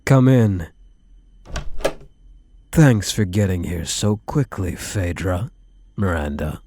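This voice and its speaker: A man as a deep-voiced, possessive yandere groom. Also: darkish tone, slightly rough, dry recording, full character voice. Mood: smugly commanding. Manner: slow, taunting, mock-tender endearments. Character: possessive yandere kidnapper groom, smugly doting and menacing. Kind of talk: ASMR roleplay audio drama.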